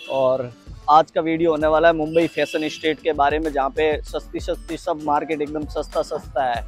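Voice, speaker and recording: A man in his thirties from Rajasthan talks to his viewers as he strolls through a busy outdoor market, his voice pitched a little high. He chats, points out goods and haggles over prices. Faint music plays underneath, and the sound comes from a camera held at arm's length. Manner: lively, chatty, keeps saying "so friends", quotes prices and bargains with vendors. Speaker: travel vlogger. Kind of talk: casual vlog chat while walking a street market. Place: outdoor street market in a hot city. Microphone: handheld camera at arm's length.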